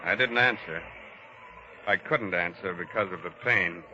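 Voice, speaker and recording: An actor playing a plain, matter-of-fact city doctor in 1940s radio drama style. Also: male voice, deep voice, measured pace, bright, forceful tone, a little hiss or hum, exaggerated voice. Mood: sober, matter-of-fact. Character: plain city doctor recounting surviving a torpedo attack. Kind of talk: 1940s radio drama first-person narration. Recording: muffled old 1940s radio recording.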